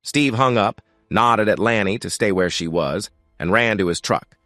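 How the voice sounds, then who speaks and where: A man's voice: fairly low pitch, moderate pace, a bit bright, dry recording, studio quality, faint music behind. A man reading a scripted story as its narrator.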